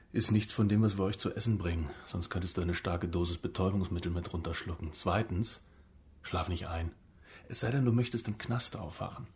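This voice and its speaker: Low-voiced, unflappable police negotiator, played by a man. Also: natural voice. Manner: matter-of-fact, coaxing, gently mocking. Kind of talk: German radio drama acting.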